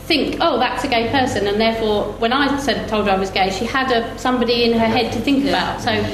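A woman's voice: a little high, brisk. A woman speaking informally and telling anecdotes as a panellist at a live discussion. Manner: informal, conversational. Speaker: festival panellist. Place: indoor live event venue. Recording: echoey room, clear background noise.

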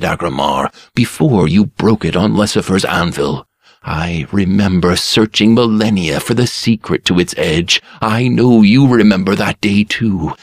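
A man's voice: on the low side, no echo, studio quality. A man voicing a fearful, servile barber who mumbles deferential replies to a lord. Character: timid servile old barber, fearful yet cunning.

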